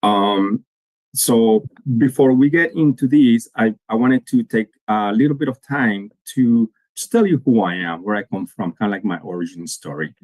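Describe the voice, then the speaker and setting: A man's voice: on the low side, normal speed, darkish tone, no echo, poor audio. A man, giving an earnest conference talk to students and restarting his phrases often. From Colombia. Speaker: guest speaker.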